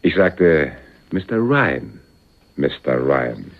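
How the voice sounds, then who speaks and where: On a narrow, phone-like radio recording, a smug crime-play boss. The man's deep voice is formal and measured, with condescending, menacing politeness. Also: big pitch swings, vocal fry, exaggerated voice. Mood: smug, controlled. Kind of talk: German radio crime drama acting. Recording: muffled phone-like old radio drama.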